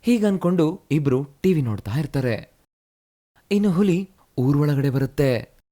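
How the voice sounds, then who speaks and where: Guy narrating a cartoon moral story, steady even read, mellow dark voice. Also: fairly high pitch, a little slow.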